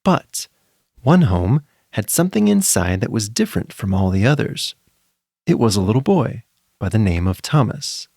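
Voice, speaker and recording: A male storyteller with a fairly low voice narrates a children's tale. His intonation swings widely, and his delivery is dramatic and full of suspense. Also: gravelly, darkish tone, slightly rough, no echo, studio quality.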